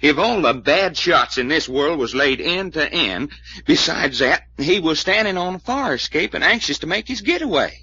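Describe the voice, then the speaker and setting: An actor playing a folksy, skeptical detective's sidekick in a 1940s radio drama, blunt and slangy. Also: male voice, on the high side, lively intonation, moderate pace, pushed and bright, husky, heavily acted. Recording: muffled old radio recording.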